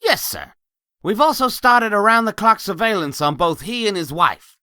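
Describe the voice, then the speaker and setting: A woman's voice: medium pitch, animated, moderate pace, bright, forceful tone, no room sound, clean studio sound. A woman playing a menacing henchwoman who serves a boss, in a comic dub. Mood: efficient.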